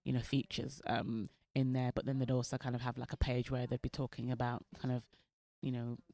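A woman's voice: very deep voice, little pitch movement, brisk, mellow. A woman in her thirties from Birmingham with a mild Birmingham accent, chatting freely as a guest in an interview, with lots of fillers. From Birmingham, England. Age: 30s. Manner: chatty, many fillers, laughs easily. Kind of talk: casual interview chat.

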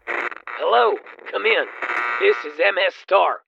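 A man's voice: high-pitched, big pitch swings, slow, gravelly, background noise, exaggerated voice. A man playing a curt, menacing crime boss giving orders over a two-way radio in an audio drama. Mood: commanding, urgent. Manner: terse, commanding. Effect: two-way radio filter. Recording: dull lo-fi radio sound.

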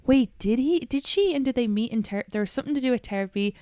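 A woman hosting a podcast, blunt and quick to interject in casual chat. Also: on the high side, expressive, warm tone, no room sound, studio quality. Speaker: podcast host.

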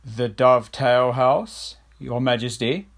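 A man's voice: mid-range voice, steady tone, slow pace. A man voicing an old, dutiful royal chief adviser who speaks formally and deferentially.

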